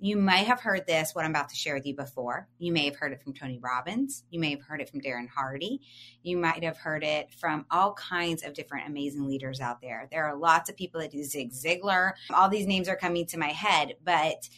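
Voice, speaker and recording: A woman's voice: sits low, fairly quick, a bit bright. A woman in her forties co-hosting a podcast, coaching listeners in an upbeat, emphatic way and asking them questions. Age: 40s. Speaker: podcast co-host.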